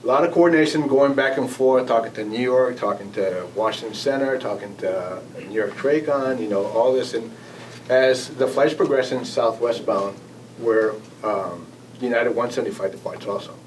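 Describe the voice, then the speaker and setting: An American man giving an informal spoken recollection as a guest speaker, in a halting, conversational manner. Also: medium pitch. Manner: halting, conversational, acting out quoted exchanges. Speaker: guest speaker, air traffic manager. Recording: distant mic, noisy room ambience.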